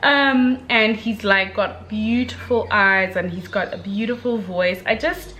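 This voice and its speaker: A woman giving a casual book review in an expressive, slangy way, talking to viewers like girlfriends with emphatic check-ins. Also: mid-range voice, a little slow.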